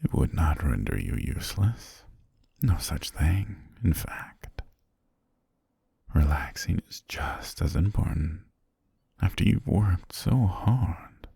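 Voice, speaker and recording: Guy doing a calm controlling master for asmr, deep n breathy, real slow, coaxing, right up on the mic. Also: no room sound, clean studio sound, exaggerated voice. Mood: reassuring, possessive. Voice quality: breathy, half-whispered. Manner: slow, gentle, coaxing, softly commanding. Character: calm, possessive male governor soothing his hypnotized pet. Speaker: ASMR voice actor. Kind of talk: ASMR roleplay. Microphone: very close, dry microphone.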